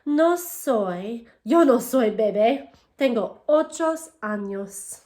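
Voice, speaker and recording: A woman teacher reading a picture book aloud to children in English and then Spanish, pausing to ask them questions. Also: fairly high pitch. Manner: expressive storytelling, asks children questions. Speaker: elementary school teacher. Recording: echoey room.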